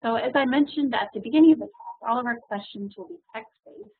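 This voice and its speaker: A woman hosts the question part of a webinar, easygoing and filler-heavy. Her voice is a little husky, and it comes through thin and tinny like a phone line. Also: on the high side, fast. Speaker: webinar moderator. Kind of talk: hosting a webinar Q&A.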